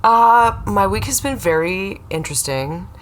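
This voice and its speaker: A woman's voice: mid-range voice, expressive, a little slow, a little hiss or hum. A woman, a guest on a comedy podcast, telling stories in a rambling, hesitant way full of restarts. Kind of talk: casual comedic chat.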